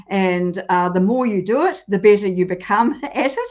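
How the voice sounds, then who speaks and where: A woman's voice: medium pitch, normal speed. A woman hosting a live stream interview, chatty and hesitant with many ums. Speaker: host. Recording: dull lo-fi audio.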